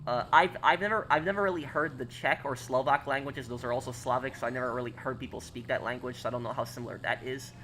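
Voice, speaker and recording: An American man in his twenties talks to his stream chat in a loose, hesitant way, full of "uh"s and restarts as he answers viewer questions. His pitch sits in an ordinary middle range. A faint hiss of room noise runs underneath. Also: fast, few pauses, bright, forceful tone, poor audio. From United States. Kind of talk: casual live-stream chat.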